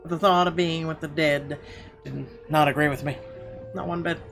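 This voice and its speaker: A man's voice: fairly high pitch, fairly even intonation, moderate pace, gravelly, fairly bright tone. A man hosting a gaming video, reading visual novel narration aloud and adding his own comments. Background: game music.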